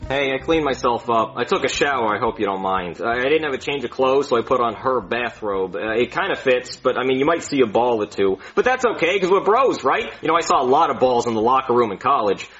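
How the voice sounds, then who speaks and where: A man's voice: mid-range voice, expressive, fast talker, hardly any pauses, fairly bright tone, lightly acted. A man playing a bumbling, insecure doctor who rambles and stammers, then screams insults at himself, on a dull lo-fi recording. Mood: rambling. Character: bumbling insecure male doctor, self-loathing.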